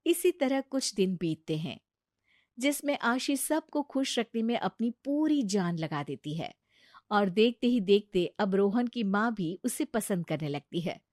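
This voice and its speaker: A woman's voice: mid-range voice, expressive, measured pace, darkish tone, no echo, clean studio sound. A woman reading a scripted family story as its storyteller.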